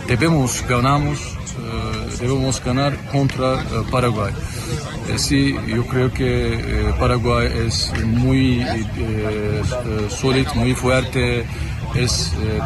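A man who is not a native speaker answering interview questions slowly, with many pauses and fillers and broken grammar. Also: medium pitch. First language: not Spanish. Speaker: interviewed football guest. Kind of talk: halting interview answers in a second language.

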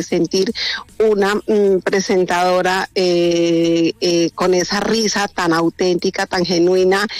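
A woman's voice: on the low side, dry recording. A middle-aged Colombian woman calling a radio show, chatty and effusive, laughing often. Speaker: radio caller. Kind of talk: warm phone-in chat.

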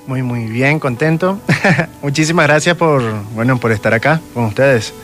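A man's voice: medium pitch, moderate pace, a bit bright, soft background music. A man with a Venezuelan accent, a guest telling personal stories conversationally and often checking that the listener follows. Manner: frequent check-in tags. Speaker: guest, self-described medium.

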